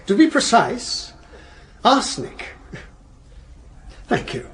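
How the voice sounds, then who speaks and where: High-voiced, precise prosecuting barrister. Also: male voice, wildly swooping pitch, slow pace, fairly bright tone, heavily acted. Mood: formal, pointed. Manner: formal, precise, pointed. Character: precise, pointed prosecuting barrister questioning witnesses. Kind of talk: radio courtroom drama acting.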